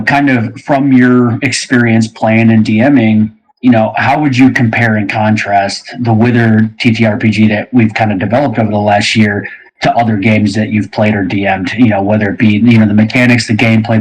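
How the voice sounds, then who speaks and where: Male host for casual interview, lowish husky voice, rambling conversational phrasing. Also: poor audio. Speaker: show host and game co-designer.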